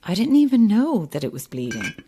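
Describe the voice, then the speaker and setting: An actress playing a skeptical, sharp-tongued journalist in an audio drama, dry and argumentative. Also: female voice, medium pitch, wildly swooping pitch, brisk, a little hiss or hum. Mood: pained, weary. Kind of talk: naturalistic audio drama acting.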